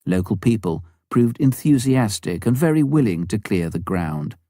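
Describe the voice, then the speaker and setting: Man, audiobook narrator, scripted memoir reading, soft dark tone, dry recording. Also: on the low side, animated, normal speed, dry recording.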